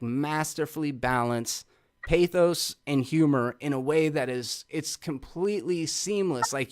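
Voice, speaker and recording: A man hosting a casual film review show, effusive and swearing freely as he talks. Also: fairly high pitch. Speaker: host of a movie review show.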